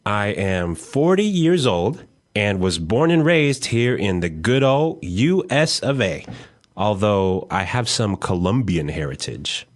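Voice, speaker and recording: A man playing a friendly, nosy American taxi driver of forty in a slow, clear language-lesson dialogue. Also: on the low side, sing-song. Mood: chatty. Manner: slow, exaggeratedly clear delivery.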